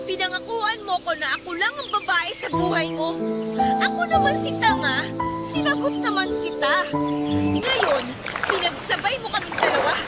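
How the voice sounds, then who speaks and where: Very high-pitched furious, betrayed girlfriend. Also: very animated, moderate pace. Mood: accusing, hurt. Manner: confrontational, accusing. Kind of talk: radio drama acting. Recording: loud music under the voice.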